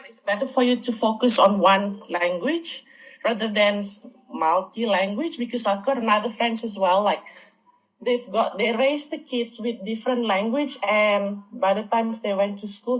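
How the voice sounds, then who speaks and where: A woman's voice: mid-range voice, normal speed, a bit bright, low-quality recording. Chatty woman in an interview. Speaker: interviewed parent. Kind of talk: recorded interview.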